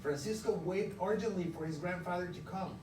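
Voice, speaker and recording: A man's voice: sits high, fairly quick, distant mic, a crowd in the background. A man teaching young pupils, reading a storybook aloud expressively and asking questions in an encouraging, emphatic way. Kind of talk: interactive read-aloud, storytelling and questioning children. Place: indoor classroom. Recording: roomy, noisy classroom, recorded from afar.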